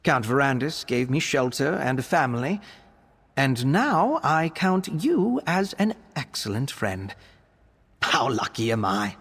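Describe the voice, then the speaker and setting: Expressive, husky young vampire scholar. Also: male voice, medium pitch, measured pace, in a large hall, exaggerated voice. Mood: eager, wondering. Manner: earnest, expressive, articulate and bookish. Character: earnest, scholarly young male vampire alchemist. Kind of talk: video game character voice acting.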